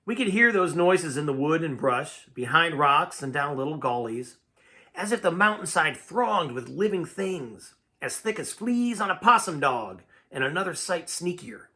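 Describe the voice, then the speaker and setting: A man's voice: fairly high pitch, very animated, normal speed, sharp tone. A man reading a first-person tale aloud in a dramatic, folksy storytelling manner. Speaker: amateur audiobook reader.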